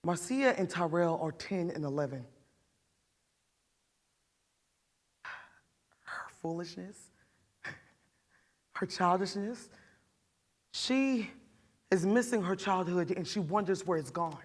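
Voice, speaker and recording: A woman playing an embittered, wounded mother in a dramatic stage monologue, halting and defensive, throwing out pointed questions. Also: fairly low pitch. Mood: tense, subdued. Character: embittered, wounded mother deciding her attacker's punishment.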